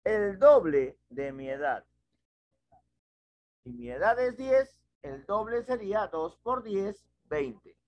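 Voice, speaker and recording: A middle-aged man teaches an online math class, moving slowly through each step and asking his students questions. His voice rises and falls a great deal. The headset audio sounds dry and muffled. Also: sits high, sing-song, a little mellow. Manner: patient, explains step by step with questions. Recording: dull lo-fi video call audio.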